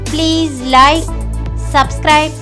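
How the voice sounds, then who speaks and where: A woman's voice: high-pitched, steady tone, extremely slow. A woman delivers a scripted promotional announcement as a channel announcer. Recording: music bed under the voice.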